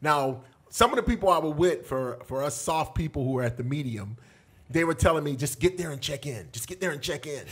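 A middle-aged man casually telling animated stories as a podcast guest, in a small studio on a boom mic. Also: a little fast, breathy edge. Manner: animated, gestures a lot while telling prison stories. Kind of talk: casual storytelling. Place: small studio with foam panels. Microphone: boom mic on table.

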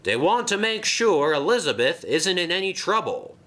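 A flustered station master, put on by a man in a storybook reading. He delivers his news urgently in a high, bright voice that leaps around in pitch. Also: sing-song, normal speed. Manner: urgent, breathless calling.

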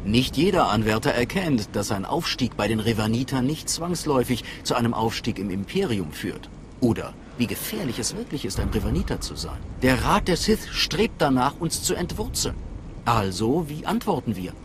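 A man's voice: mid-range voice, very animated, normal speed, background noise, natural voice. A man playing an affable, shrewd major in a video game, with an informal, conversational manner. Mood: affable, conspiratorial. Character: affable, shrewd military major in secret order. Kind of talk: video game cutscene acting.

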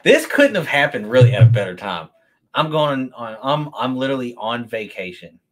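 A man's voice: medium pitch, normal speed. A man, hosting a sneaker livestream and chatting casually as he answers viewer comments. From Kentucky. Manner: relaxed, chatty, answers chat questions on the fly. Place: room with shelves of sneakers, indoors.

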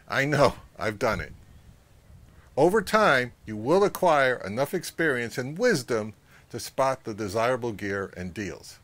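A middle-aged man giving advice to camera as a channel host, speaking in a measured, instructive way. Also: medium pitch, a bit bright. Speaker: host of a vintage audio channel. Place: outdoors, among trees or on a porch.

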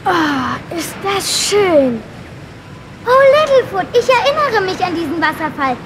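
A woman's voice: very high voice, big pitch swings, unhurried, noisy background, full character voice. A woman playing an eager, adventurous young dinosaur child in a children's audio drama. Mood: excited. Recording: echoey cave-like reverb, music behind.

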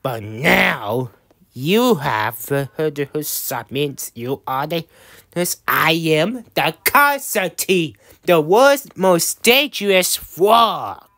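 A woman voicing a villainous male frog for amateur cartoon clips, gloating in a halting, stammering way. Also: low voice, very animated, fairly bright tone, exaggerated voice. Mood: gloating, menacing. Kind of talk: amateur improvised character voice clips.

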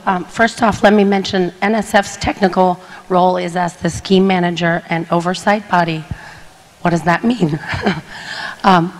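A woman in her forties with a husky voice giving an earnest presentation into a handheld mic on a conference stage. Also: fairly low pitch. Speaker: panelist. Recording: echoey conference hall.

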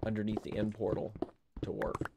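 An older man with a head cold talks casually from a home room through a headset mic, his voice sitting low. He rambles and thinks aloud, counting under his breath, while loud noise runs steadily underneath. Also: completely flat delivery, normal speed. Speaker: streamer. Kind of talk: casual gameplay commentary.

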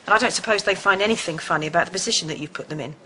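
A woman's voice: on the low side, very fast, bright, forceful tone, rough recording. An actress with a British accent playing a guarded, worried middle-aged mother in a naturalistic TV soap. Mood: stern, uneasy. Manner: hesitant, stumbling. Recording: clear background noise.

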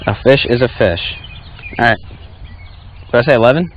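A young man in his twenties, casually teasing and keeping score out loud while fishing from a small boat on a lake. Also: medium pitch, fairly quick, dull audio, slight background noise. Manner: teasing, keeps score aloud. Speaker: fishing vlogger. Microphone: action camera on a chest harness.

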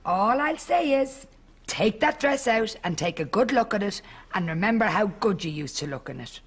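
A woman's voice: mid-range voice, animated, moderate pace, breathy edge. A woman playing a frank, nagging grown-up Irish daughter in a sitcom, with a pointed manner. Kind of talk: studio sitcom acting. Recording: noisy TV studio recording.

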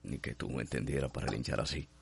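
A man's voice: very deep voice, steady tone, fast, vocal fry, very noisy, full character voice. An actor playing a melancholy, lovelorn man confiding in his horse in a radio soap, with a Cuban accent. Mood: wistful, tender. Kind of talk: radio soap opera acting. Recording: loud music and horse sound effects.

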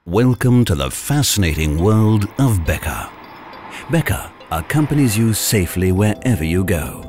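A male narrator presents scripted promotional copy with lively, varied intonation. His voice is fairly low, with faint music underneath. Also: measured pace. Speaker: voice-over narrator.

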